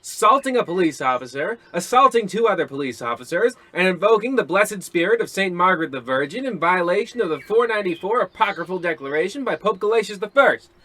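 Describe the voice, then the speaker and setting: Man playing a punchy, bright-voiced radio detective. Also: high-pitched, expressive, quick pace, few pauses, full character voice. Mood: brisk, official. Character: hard-boiled male police detective, brash and unflappable. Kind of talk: old-time radio comedy acting.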